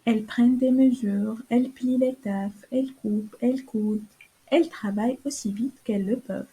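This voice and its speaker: A woman narrating a children's cartoon tale in a calm, clear storytelling manner. Her voice is warm and mellow, a little high, with a breathy softness. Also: normal speed, rough recording.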